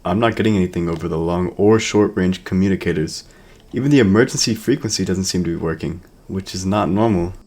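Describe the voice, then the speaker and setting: A gruff mercenary radio operator in a sci-fi radio drama. His voice is deep and low. He talks in a sardonic, matter-of-fact way. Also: brisk, darkish tone. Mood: troubled. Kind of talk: sci-fi audio drama acting.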